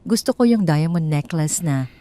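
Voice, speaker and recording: A betrayed wife with a secret plan, played by a woman storyteller. She speaks calmly and tenderly, feigning innocence in a melodramatic audio story. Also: low-pitched, normal speed. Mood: feigned innocence. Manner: calm, tender, innocent-sounding. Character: calm, scheming betrayed wife feigning sweetness.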